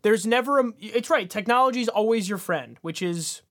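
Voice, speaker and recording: Man, notably high voice, podcast co-host, casual review chat, slangy, wry. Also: moderate pace, fairly bright tone. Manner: colloquial, wry.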